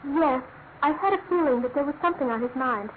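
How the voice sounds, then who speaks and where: Woman acting high-voiced anguished British fiancée, old radio. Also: faint music behind, close to an everyday voice. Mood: subdued, earnest. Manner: emphatic, halting, earnest. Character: anguished, loyal young bereaved fiancée, earnest and refined. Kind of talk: classic radio drama acting. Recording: noisy, narrow-band old radio recording.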